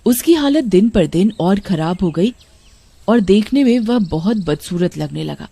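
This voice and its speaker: A woman giving scripted storytelling narration for a cartoon, in a mellow voice. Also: medium pitch, animated, a little slow, creaky, breathy edge.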